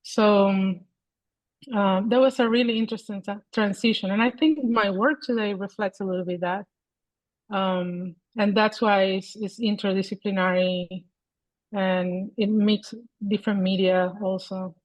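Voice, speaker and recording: A Venezuelan woman in her forties speaks over a video call, thinking aloud and often circling back to restart a phrase. Her voice sits at an ordinary pitch and is captured close and dry, with no room behind it. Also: steady tone, dry recording, poor audio. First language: Spanish. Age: 40s. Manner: thoughtful, halting, many restarts and fillers. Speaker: guest artist.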